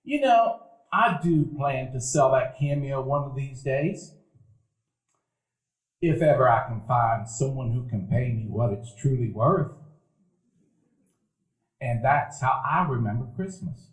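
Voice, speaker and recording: A man playing a nostalgic grown man recalling boyhood, narrating a radio play in a measured, literary way. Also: mid-range voice, animated. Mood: mournful, reflective. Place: event hall before live dinner-theater audience. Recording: echoey live theater stage.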